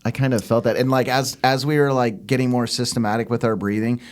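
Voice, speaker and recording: A man co-hosting a podcast, explaining things in a casual, loose and halting way. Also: medium pitch, little pitch movement. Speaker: podcast co-host.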